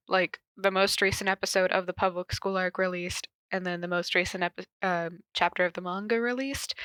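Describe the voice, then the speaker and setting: Bright-toned, congested woman podcaster. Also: medium pitch, dry recording. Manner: rambling, chatty, frequent ums and likes. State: stuffy nose from a cold. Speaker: podcast host. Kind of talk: casual solo podcast chat.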